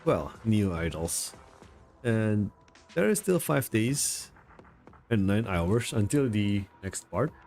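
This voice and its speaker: A man's voice: lowish voice, very animated, slow, a little mellow. A man livestreaming a game in casual chat, rambling and self-deprecating as he searches for words, with game music behind him. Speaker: game streamer. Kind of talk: casual live stream chat.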